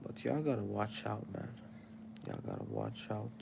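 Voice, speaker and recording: Tired young dude w arabic accent lecturing his stream chat, low gravelly voice, noisy mic. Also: wildly swooping pitch, normal speed. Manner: preachy, addresses his chat directly. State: sleepy and tired. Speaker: livestreamer. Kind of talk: casual livestream chat giving life advice.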